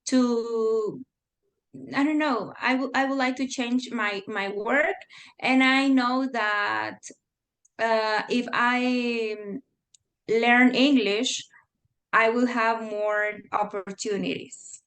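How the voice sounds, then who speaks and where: Slow, high-voiced Mexican woman. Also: steady tone, fairly bright tone. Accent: Mexican Spanish. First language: Spanish. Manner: hesitant, many fillers, laughs often. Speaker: interview guest. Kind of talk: casual conversation.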